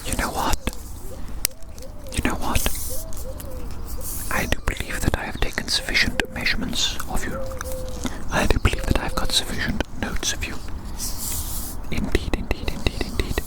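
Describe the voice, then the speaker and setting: An ASMR performer whispers throughout with no real voice, just soft breath. The delivery is gently reassuring and musing, with phrases repeated softly as part of a soothing roleplay. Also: normal speed, long pauses.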